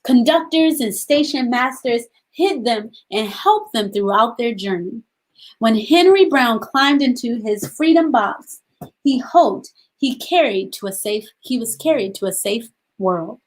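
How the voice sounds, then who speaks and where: A woman hosting a children's storytime, reading aloud deliberately in short phrases and putting questions to her young listeners. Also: a little high, expressive, poor audio.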